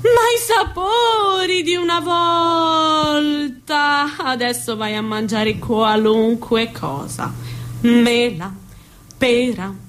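A woman's voice: fairly high pitch, fairly bright tone, a little hiss or hum. A woman playing a chatty mourner in a comic sketch, trading indignant platitudes with theatrical, emphatic repetition. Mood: indignant lament.

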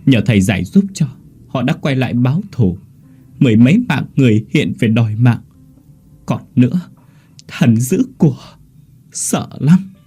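A man's voice: medium pitch, very animated, normal speed, long pauses, dark tone, breathy edge, pitched above the performer's own voice. A man voicing the sorrowful ghost of a dead mother in a horror story, pleading and tearful. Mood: fearful. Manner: sobbing, pleading.

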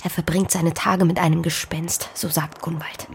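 An actress playing a poor young pregnant farm maid in a radio play, fearful yet stubborn, speaking earnestly in formal stage diction. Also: female voice, fairly low pitch, little pitch movement, moderate pace, gravelly, slight background noise. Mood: hushed, haunted. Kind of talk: German radio play acting.

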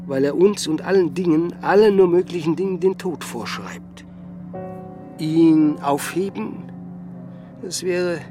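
An actor playing a renowned, aloof scientist in a radio drama, speaking formally and hesitantly, with condescension. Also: male voice, a little high. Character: aloof, renowned male scientist, coolly dismissive. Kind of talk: German radio drama dialogue. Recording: music playing under the voice. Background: music.

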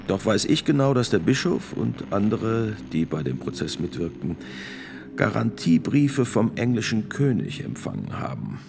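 An actor playing a grave priest and notary of sixty-six, testifying in a radio drama with gravelly, deliberate, formal speech. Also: male voice, on the low side, very animated, noisy background. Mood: guarded, grave. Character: sixty-six-year-old male priest and court notary, grave witness.

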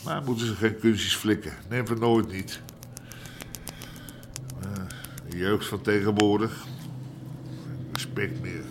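An actor playing a middle-aged, grumbling old-school underworld boss in a radio drama, with an Amsterdam accent and blunt street talk. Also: male voice, on the low side, fairly even intonation, slow, pauses often, noisy background. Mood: grumbling, brooding. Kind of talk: naturalistic radio drama acting.

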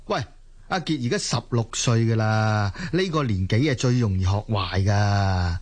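A man's voice: on the low side, normal speed, lightly acted. A man playing a short-tempered middle-aged father in a Cantonese audio drama, scolding and blustering with many interjections. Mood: angry, scolding.

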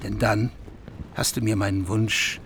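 An actor playing a heathen king longing to woo a widow, in a radio drama, with a creaky voice. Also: fairly low pitch, little pitch movement, measured pace, fairly bright tone, a little hiss or hum. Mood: yearning.

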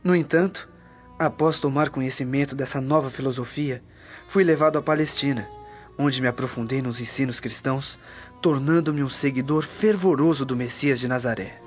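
An actor playing a humble, devout young freed slave in a radio drama, speaking gently and earnestly. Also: male voice, mid-range voice, moderate pace, natural voice. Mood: reverent, earnest. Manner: reverent, earnest. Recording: dull lo-fi radio drama audio. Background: music.

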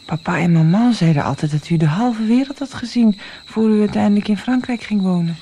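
A woman playing a softly mellow, questioning granddaughter. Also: medium pitch, fairly quick, natural voice. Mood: curious, impatient. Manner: questioning, direct. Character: caring, puzzled young granddaughter, plain-spoken. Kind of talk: radio drama acting. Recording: faint noise, night crickets. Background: chirping crickets.

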